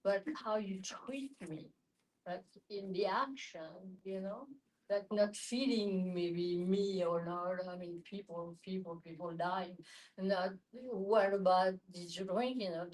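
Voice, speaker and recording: A woman's voice: fairly low pitch, rough recording. A woman in a candid group discussion, blunt and emphatic, with broken phrasing. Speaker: discussion participant.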